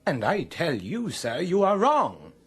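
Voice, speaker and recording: A high-voiced, foppish lord. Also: male voice, huge pitch swings, a little slow, a bit bright, husky, full character voice. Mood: haughty. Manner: affected, haughty. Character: foppish, haughty young English lord, easily flustered. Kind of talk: radio drama acting.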